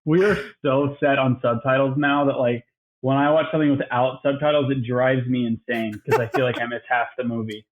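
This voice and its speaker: A man's voice: mid-range voice, fairly even intonation, brisk. A man co-hosting a casual gaming podcast who talks in an easygoing, rambling way and laughs often. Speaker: podcast co-host. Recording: phone-like lo-fi line.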